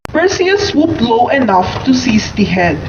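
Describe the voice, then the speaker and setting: Casting: female storyteller, slightly high voice, scripted radio-play narration; muffled, noisy take. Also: very animated, a little slow, vocal fry. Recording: muffled, echoey room with background noise.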